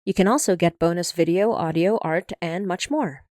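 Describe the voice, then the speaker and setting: A woman's voice: fairly low pitch, moderate pace, darkish tone, no echo. A woman podcast showrunner reading scripted credits and announcements into a close mic.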